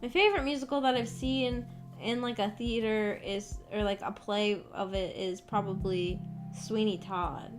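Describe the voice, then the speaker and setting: A young woman streaming, chatting casually and rambling with lots of fillers, her voice a bit rough from just waking up. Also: mid-range voice, fairly even intonation, moderate pace, music in the background.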